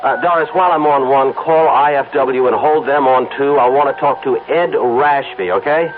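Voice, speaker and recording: A man's voice: mid-range voice, moderate pace, close to an everyday voice. An actor playing a strained, obsessive advertising man in a 1970s radio drama, talking in a clipped, evasive way. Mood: brisk. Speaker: lead character in a radio play. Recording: tinny, music-backed old radio broadcast.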